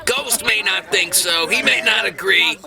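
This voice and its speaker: A man giving excited, dramatic play-by-play wrestling commentary, emphatic and often shouting. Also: sits high, unhurried. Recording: clearly noisy background.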